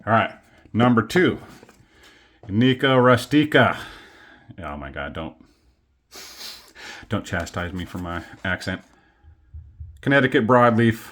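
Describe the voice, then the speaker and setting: A man casually showing his collection on camera at home, rambling and self-deprecating with many pauses and fillers. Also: on the low side, lively intonation, fairly bright tone. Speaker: coin collecting vlogger. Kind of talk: casual show-and-tell. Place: home studio.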